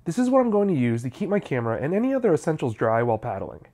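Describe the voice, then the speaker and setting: A man's voice: on the high side, very animated, racing, dark tone. A man, narrating a how-to video in a scripted, explanatory style.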